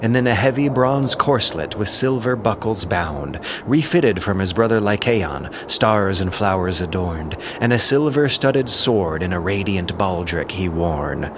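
A man narrating an epic audio drama in a measured, theatrical storytelling manner. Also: deep voice, expressive, noisy background.

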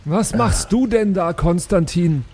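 A man's voice: high-pitched, lively intonation, slow pace, darkish tone, breathy edge, full character voice. A man playing a teasing, exasperated adventurer in a fantasy tabletop audio game, with a mocking delivery.

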